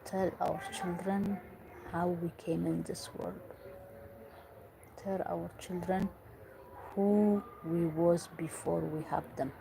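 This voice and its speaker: A woman's voice: lowish voice, fairly even intonation, takes it slowly, vocal fry, long pauses, background noise. A woman from Eritrea, earnestly giving parenting advice in English and repeating herself for emphasis. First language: Tigrinya or other Eritrean language. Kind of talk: earnest talk to camera.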